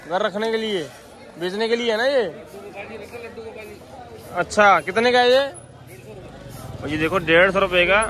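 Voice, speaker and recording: A man's voice: sits high, sharp tone. A man giving repetitive, sales-like running commentary to viewers as a street market vlogger, asking vendors prices. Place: busy outdoor street market.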